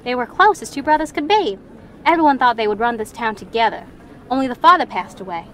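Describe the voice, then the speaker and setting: A woman's voice: on the high side, animated, lightly acted. A woman playing a plain-spoken, folksy Wild West townsperson in an audio drama, with some background noise. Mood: puzzled. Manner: folksy, drawn-out idioms. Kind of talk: western audio drama acting.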